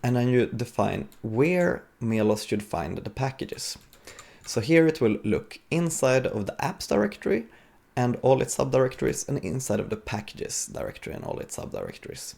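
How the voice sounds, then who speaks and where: A man giving an informal, explanatory live coding talk as a conference speaker, with a gravelly, husky voice. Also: mid-range voice, wildly swooping pitch, moderate pace, darkish tone.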